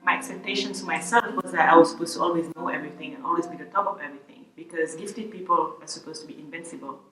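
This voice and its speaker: A woman's voice: on the low side, racing. A young woman from Senegal, thoughtfully reflecting on her school years in an interview. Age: young adult. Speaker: student interviewee. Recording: roomy, from a distance, music behind.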